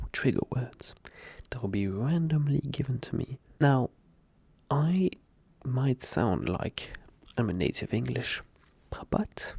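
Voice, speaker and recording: A man whose first language is French, talking softly and whispering into a close mic as an ASMR creator. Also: medium pitch, gravelly, slightly rough, no echo. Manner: soft-spoken, drifting into whispers. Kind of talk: soft-spoken ASMR talk.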